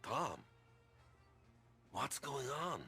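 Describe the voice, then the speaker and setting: An actor playing an earnest, forthcoming gangland driver in a video game cutscene. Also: male voice, mid-range voice, sing-song, drawn-out words, bright, forceful tone, slightly rough, faint music behind, exaggerated voice. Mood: uneasy.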